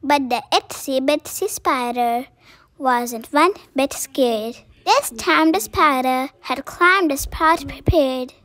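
A child reading a picture book aloud in a careful, deliberate way. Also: high voice, pushed and bright, no room sound. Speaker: story reader.

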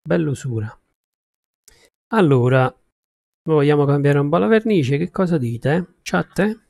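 A man chatting casually with viewers as he livestreams a game, haltingly thinking aloud. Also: on the high side, expressive, creaky, darkish tone, dry recording, studio quality. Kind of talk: casual chat with stream viewers while gaming.

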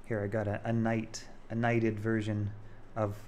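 Male developer for casual demo commentary; lowish pitch, halting delivery, noticeably noisy home recording. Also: completely flat delivery, darkish tone. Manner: halting, thinking aloud. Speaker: game developer showing a project.

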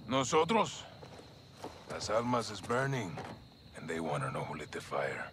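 A man playing a resolute Mexican special forces leader in a game, quiet and deliberate, with a Mexican accent. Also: deep voice, big pitch swings, background noise. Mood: guarded. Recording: music behind the voice.

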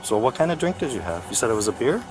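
A man's voice: medium pitch, very animated, racing, music in the background. A male police officer giving procedural instructions and commands, recorded outdoors on a body camera. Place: outdoor roadside at night. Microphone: body-worn camera. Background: outdoor and vehicle noise.

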